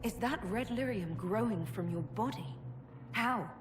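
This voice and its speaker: An actress playing a composed, determined elven heroine in a video game, earnest, calm and lightly dry. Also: female voice, medium pitch, unhurried, natural voice. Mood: concerned. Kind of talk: dramatic video game voice acting. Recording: hall reverb, noisy ambience.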